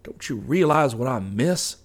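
A man's voice: medium pitch, expressive, unhurried, pitched above the performer's own voice. A man voicing a weary, sorrowful husband who pleads with obstinate sadness. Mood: bitter anguish. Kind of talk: audiobook character voice.

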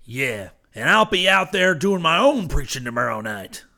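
A man voicing a pushy, folksy con-man preacher in an audiobook, impassioned and a little hoarse. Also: fairly high pitch, very animated, moderate pace, pushed and bright, voice pushed up, exaggerated voice. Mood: agitated, threatening. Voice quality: hoarse, breathless.

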